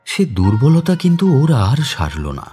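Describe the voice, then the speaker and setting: An actor playing a mild, educated man near forty who narrates his memories in a dramatised literary audio story, in a reflective, expressive way. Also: medium pitch, unhurried, husky, faint music behind, exaggerated voice.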